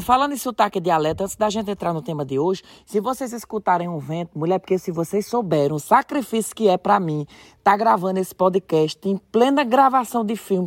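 A man's voice: sits high, no room sound. Northeastern Brazilian male co-host, chatty and joking, close dry sound. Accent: Northeastern Brazilian Portuguese. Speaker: podcast co-host. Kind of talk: casual podcast banter. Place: outdoors on a rock in the backcountry.

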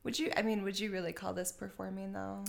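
A woman's voice: medium pitch, little pitch movement, fast, creaky. A woman co-hosting a podcast in casual chat, with a profane and giggly manner. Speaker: podcast co-host. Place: living room.

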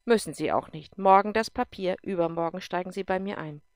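A woman reading aloud and voicing a strict, commanding female general who talks in clipped, forceful sentences. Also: fairly low pitch, a little fast, no echo, studio quality, natural voice. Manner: clipped, forceful, brooks no argument. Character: stern, commanding female general, middle-aged.

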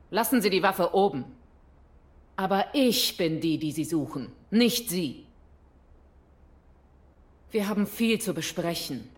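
An actress playing a proud, composed female rebel leader in a German audio drama, speaking formally with measured defiance. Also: on the low side. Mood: cool, composed.

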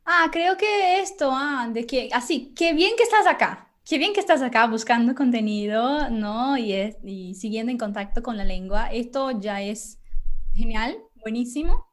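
A woman's voice: a little high, normal speed. A woman who teaches Spanish, talking animatedly as a guest on a casual podcast and laughing between phrases.

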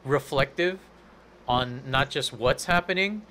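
A man's voice: mid-range voice, unhurried, sharp tone. A man hosts a casual podcast in a plain mid-range voice. He rambles and restarts his sentences, full of fillers. A faint background hum runs under his talk. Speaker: podcast host.

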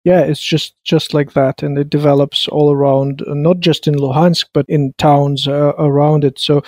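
A man with a somewhat higher voice speaks earnestly about what he saw. The recording is dry and close. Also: flat delivery, moderate pace, a little mellow, dry recording. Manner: earnest, describing as a civilian. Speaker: interviewee. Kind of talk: personal account in conversation.

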